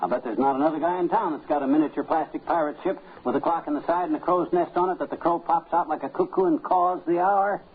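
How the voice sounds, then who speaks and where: A man's voice: a little high, fast talker, hardly any pauses, slightly rough. An American man playing a blustery, folksy, wisecracking married man in a 1950s radio comedy. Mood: dry, folksy. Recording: tinny, noisy old radio recording.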